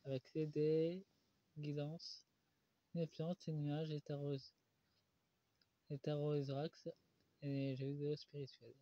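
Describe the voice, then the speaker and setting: Man, stuffy with a cold, halting, lots of fillers, tarot reading, muffled lo-fi recording. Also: on the high side, monotone, dark tone, no echo. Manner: halting, with many pauses and "euh" fillers. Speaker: tarot reader. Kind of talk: solo tarot card reading. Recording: dull lo-fi recording.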